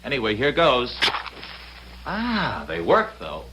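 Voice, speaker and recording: A man's voice: medium pitch, huge pitch swings, slow, background noise. An actor playing an eager, wry male time traveler in an old-time radio drama, with affectionate banter. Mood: eager, curious.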